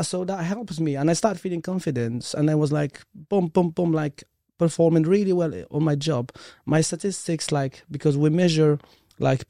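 Higher-voiced Moroccan man, slightly creaky. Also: warm tone, no room sound, studio quality. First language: Moroccan Arabic. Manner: earnest, frequent "like" and "you know". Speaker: interview guest, performance coach. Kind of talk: casual personal storytelling in an interview.

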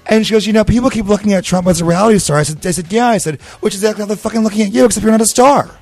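A man's voice: high voice, very fast, darkish tone, no room sound. A man in his twenties chatting casually and comedically, telling stories emphatically with profane quips. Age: 20s. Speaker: talk show panelist.

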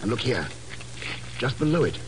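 An actor playing a shrewd, matter-of-fact secret agent in a 1950s radio drama, speaking tersely and probingly. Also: male voice, mid-range voice, sing-song, moderate pace, lightly acted. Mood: probing, cool. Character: cool, clipped, shrewd male secret agent. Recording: noisy old 1950s radio recording.

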